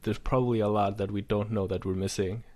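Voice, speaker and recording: A man's voice: fairly low pitch, fairly even intonation, fast talker, mellow. A man co-hosting a podcast, talking in a loose, rambling way with restarts and casual swearing. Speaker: podcast co-host. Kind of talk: casual chat.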